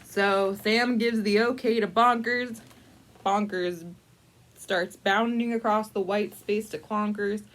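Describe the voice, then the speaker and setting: Woman, medium pitch, casual storytime narration, playful, wry asides, mock-dramatic, conversational, thinking aloud. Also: steady tone, slow, low-quality recording. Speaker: library storyteller reading a picture book to adults. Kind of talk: casual, improvised picture-book storytime narration.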